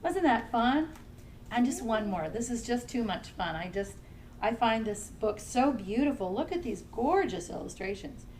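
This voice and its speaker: A woman children's librarian leading a family storytime, singing nursery songs in English and simple Spanish and gently encouraging young children to join in. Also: a little high, big pitch swings, moderate pace, a little hiss or hum. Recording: somewhat distant from the mic, faint music.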